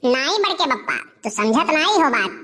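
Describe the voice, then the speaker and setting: A woman's voice: sits high, big pitch swings, normal speed, sharp tone, heavily acted. A woman voicing a cheeky, scheming village boy with an Awadhi accent in a cartoon comedy, wheedling and arguing. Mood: defensive. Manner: wheedling, argumentative.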